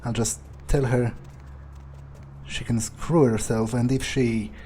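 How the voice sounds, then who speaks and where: A man playing a jaded, impatient vampire in a video game, thinking aloud in a halting, profane, sardonic monologue. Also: mid-range voice, fairly even intonation, dark tone, slight background noise, lightly acted. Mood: scornful. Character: jaded, foul-mouthed male vampire, contemptuous and impatient.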